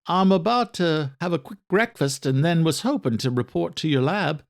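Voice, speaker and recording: A man's voice: a little high, lively intonation, normal speed, vocal fry, voice pushed up. A man voicing an anxious, over-eager, polite young man in an audio drama, a little breathless.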